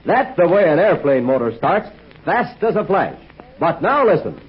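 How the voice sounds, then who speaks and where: A man announcing a 1940s radio commercial in a chummy, persuasive sales pitch. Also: big pitch swings, moderate pace, heavy background noise. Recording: dull old 1940s radio recording.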